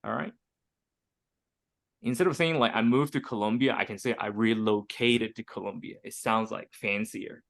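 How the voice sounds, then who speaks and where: A man's voice: lowish voice, moderate pace, vocal fry, a bit bright. A man from Hong Kong in his thirties, teaching an online English group lesson over a video call, chatty and full of fillers and restarts.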